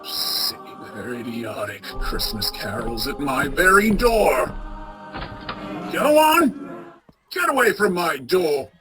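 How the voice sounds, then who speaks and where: A woman playing a bitter, miserly old male boss in a radio play, with a raspy, scornful, grumbling delivery. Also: lowish voice, huge pitch swings, takes it slowly, music in the background, heavily acted. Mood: angry, barking. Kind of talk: theatrical radio play acting.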